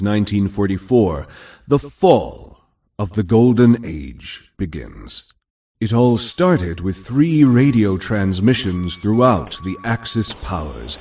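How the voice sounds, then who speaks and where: A man's voice: lowish voice, a little mellow. A man narrating an audio drama in a dramatic, scripted storytelling style. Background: music.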